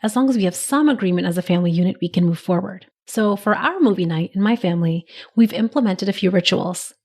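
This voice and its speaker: Slightly raspy woman podcast host. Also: medium pitch, lively intonation, fast, a little mellow. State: raspy, recovering from a cold. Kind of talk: solo podcast monologue.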